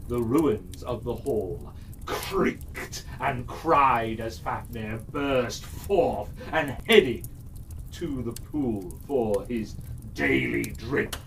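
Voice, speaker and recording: A man telling a fairytale to children in a dramatic, theatrical way, drawing out phrases with suspenseful pauses. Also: medium pitch, lively intonation, fairly bright tone. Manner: theatrical, drawn-out delivery with suspenseful pauses and big swells. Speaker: storyteller. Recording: distant mic, faint background noise.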